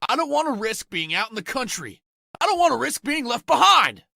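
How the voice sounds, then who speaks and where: Man acting hot-headed survivor, high forceful voice. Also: sing-song, fairly quick, vocal fry, no room sound, clean studio sound, heavily acted. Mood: furious, defensive. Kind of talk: comic dub voice acting.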